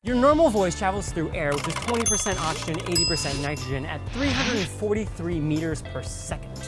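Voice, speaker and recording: A woman presenting science in a clear explanatory style. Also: lowish voice, lively intonation, fairly quick. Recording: loud music behind the voice.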